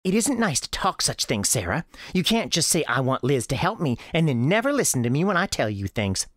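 A performer voicing a bossy, sharp-tongued girl, a protective sister who scolds and corrects, in a radio story. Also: mid-range voice, big pitch swings, a little fast, dry recording, clean studio sound, voice pushed up. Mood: stern.

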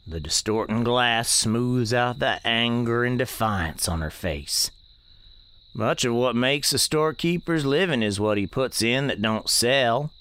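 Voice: medium pitch, lively intonation, unhurried, a bit bright, smooth. A storyteller narrates an audio drama as a country storekeeper. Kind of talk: folksy first-person storytelling.

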